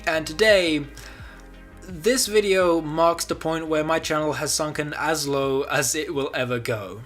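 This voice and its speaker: A man's voice: a little high, a bit bright. A man hosting a comedic YouTube video, reading aloud and commenting in a sardonic, casually sweary way. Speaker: YouTube host.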